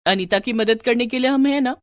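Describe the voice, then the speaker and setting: A woman's voice: on the high side, fairly quick, no echo, clean studio sound, close to an everyday voice. A woman voicing a cheerful, affectionate young woman, polite and friendly, visiting a friend in a moral story. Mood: warm, reassuring.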